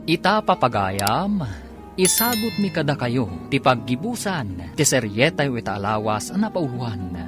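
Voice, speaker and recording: Filipino radio drama announcer over loud music. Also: mid-range voice, sing-song, unhurried. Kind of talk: scripted radio drama narration. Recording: music playing behind the voice. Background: loud music bed.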